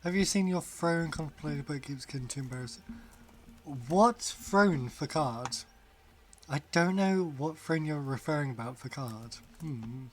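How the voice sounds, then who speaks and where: Slow, giggly young-sounding male streamer. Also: a little high, expressive. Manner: rambling, giggly, reads chat aloud. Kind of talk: casual live-stream chat while gaming.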